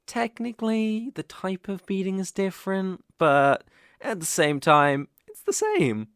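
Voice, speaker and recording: A man's voice: high-pitched, big pitch swings, measured pace, fairly bright tone, clear, resonant tone, dry recording, heavily acted. Man acting a cocky British college bully, close-up. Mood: amused teasing. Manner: teasing, condescending, swaggering. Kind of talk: ASMR roleplay acting.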